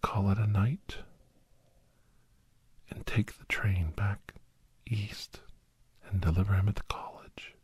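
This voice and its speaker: Slow, breathy, low-voiced man telling a story. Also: steady tone. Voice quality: breathy, half-whispered. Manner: slow, hushed, suspenseful delivery with dramatic pauses. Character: doctor recounting a monster-hunting adventure, grave and awed. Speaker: narrator of an ASMR story podcast. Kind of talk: ASMR first-person dramatic storytelling.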